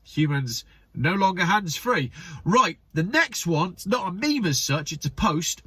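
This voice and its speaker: A man's voice: sits high, sing-song, a little slow, bright, forceful tone, slightly rough, no echo. A man hosting his channel, reading memes aloud in casual commentary with wry, sarcastic asides and a slightly stuffy, nasal voice. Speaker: channel host.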